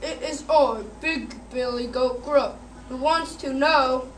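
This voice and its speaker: High-voiced big billy goat played by a child. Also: slow pace. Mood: bold. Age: child, about eight or nine. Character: big billy goat, cautious eldest brother. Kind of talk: school readers theater performance. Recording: noisy room, far from mic.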